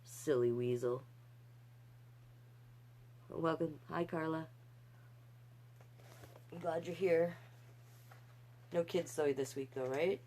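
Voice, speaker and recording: A woman with a low voice reads picture books aloud as a storytime host. She is animated and folksy, slipping easily from the story into chatty, encouraging remarks to her viewers. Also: slow, long pauses.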